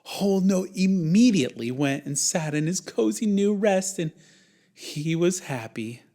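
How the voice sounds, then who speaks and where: A man's voice: sits high, animated, a little slow, mellow. A man who teaches music, narrating a story for young children.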